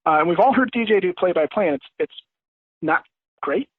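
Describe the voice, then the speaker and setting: A man's voice: high-pitched, animated, brisk, breathy edge, dry recording. A man as a guest giving casual, rambling sports analysis over a remote call. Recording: thin, dry phone-like line.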